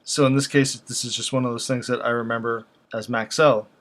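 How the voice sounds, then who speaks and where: A man giving casual, unscripted commentary as a video host, speaking haltingly as he thinks aloud. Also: mid-range voice, little pitch movement.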